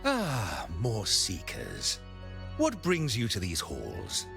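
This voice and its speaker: Pious game priest, slow n preachy, gravelly edge, music behind him. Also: male voice, fairly low pitch, huge pitch swings, heavily acted. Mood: smooth, welcoming. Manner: formal, measured, preachy. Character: pious, lofty high-ranking male cleric of a religious order. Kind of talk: theatrical video game voice acting.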